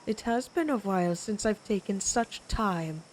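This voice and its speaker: A menacing vampire warrior woman of the ancient tribes, acted by a woman for an audio roleplay, speaks slowly over background noise. She is proud and predatory, toying with her listener in a theatrical, taunting manner. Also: mid-range voice, animated, close to an everyday voice. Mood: predatory amusement. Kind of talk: dramatic audio roleplay acting.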